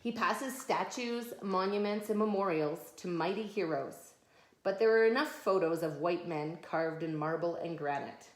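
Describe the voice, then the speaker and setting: A woman's voice: on the low side, normal speed. A woman reading a picture book aloud as a mother to children, explaining things patiently in simple, conversational words. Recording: echoey room.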